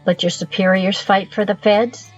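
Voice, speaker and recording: A woman playing a shrewd, commanding brothel madam in an audio drama, poised and probing, with a husky voice. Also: medium pitch, flat delivery, moderate pace, lo-fi sound, soft background music, natural voice.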